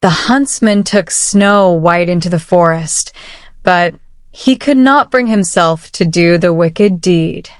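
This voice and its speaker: A woman narrating a children's picture book aloud with measured, careful phrasing. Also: fairly low pitch, no echo, clean studio sound. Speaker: storybook narrator. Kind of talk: children's read-aloud storytelling. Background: soft music.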